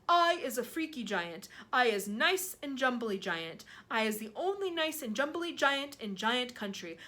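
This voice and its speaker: A woman voicing a huge, boastful but friendly storybook giant who speaks emphatically in drawn-out, muddled comic grammar. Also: high-pitched, bright, forceful tone, full character voice. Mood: proud, earnest. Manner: emphatic, drawn-out, mangled words.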